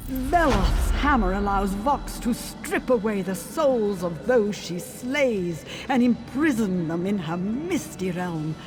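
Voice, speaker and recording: A woman's voice: medium pitch, animated, slow pace, few pauses, music in the background. A woman playing a sly, gleeful supernatural storyteller in a fantasy video game, theatrical and relishing each word. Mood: sly excitement. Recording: clearly noisy background.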